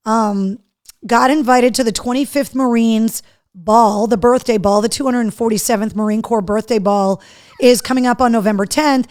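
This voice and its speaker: A woman hosting a live show in casual chat, her voice hoarse, chatty and loose. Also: on the high side, a little mellow. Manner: chatty, talks to her dog between remarks. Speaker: host.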